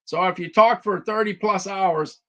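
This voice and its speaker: High, bright-voiced man chatting repetitively. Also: moderate pace. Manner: repetitive, chatty, talks to viewers by name. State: slightly worn voice. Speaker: livestream host reviewing deals. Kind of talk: casual live product chat.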